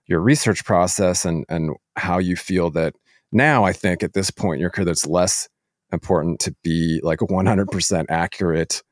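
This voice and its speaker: A man hosting a casual podcast interview, asking meandering questions in a halting way. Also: sits low, no room sound, studio quality.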